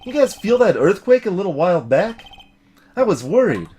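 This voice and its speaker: A man voicing a blunt, excitable, folksy middle-aged police detective in a video game. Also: sits high, moderate pace, slight background noise. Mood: friendly. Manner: folksy, blunt, keeps saying pal. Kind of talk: voiced video game dialogue.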